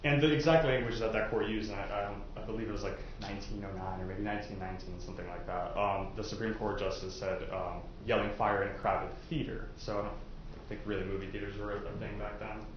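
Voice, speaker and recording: A man speaking as a lawyer to prospective jurors, explaining and asking in an informal, halting, conversational way with plenty of hedging. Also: lowish voice, fairly even intonation. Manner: halting, conversational, hedging with "I guess" and "you know". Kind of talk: informal courtroom questioning and explaining. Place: indoor courtroom. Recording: echoey courtroom, dull sound, faint noise.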